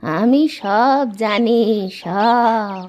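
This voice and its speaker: A woman voicing a mysterious, kindly old village woman in a cartoon, with a drawn-out, knowing manner. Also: fairly high pitch, a little mellow, slight background noise. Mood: solemn, kindly.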